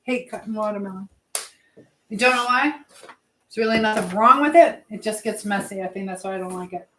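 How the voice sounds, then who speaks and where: A woman hosts a casual live stream in a voice of average pitch. She talks loosely to her viewers and reads out their comments. Her delivery rambles, often trailing off before a thought is finished. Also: a little fast, noisy background.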